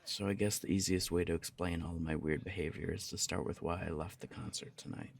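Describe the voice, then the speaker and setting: A man playing a jealous, lovesick young punk roommate in an ASMR roleplay audio drama, speaking in a halting, confessional way with swearing. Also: low voice, fairly even intonation, vocal fry. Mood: quiet, confiding.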